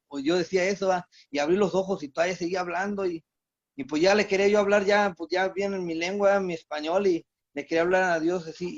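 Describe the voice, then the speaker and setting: A man with a high voice tells his own spiritual testimony. He stumbles over his words and restarts his sentences often. The recording sounds dull and lo-fi. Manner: halting, many restarts and fillers. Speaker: church member giving testimony.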